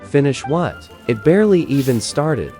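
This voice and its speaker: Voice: mid-range voice, very animated, moderate pace, mellow, faint music behind. A young-sounding gamer making casual, dry, blunt remarks while reacting to a game. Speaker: gamer commenting over gameplay.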